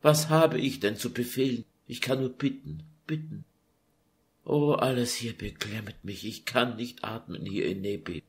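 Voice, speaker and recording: A man's voice: medium pitch, unhurried, natural voice. A man voicing an eighteen-year-old grieving duchess who pleads and laments through sobs. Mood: lamenting. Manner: sobbing, pleading, breathy lament.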